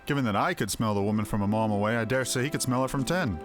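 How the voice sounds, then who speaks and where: A man voicing a haughty, fastidious wine merchant in a fantasy game, speaking formally with arch disdain. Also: medium pitch, over music, natural voice. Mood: arch, disdainful.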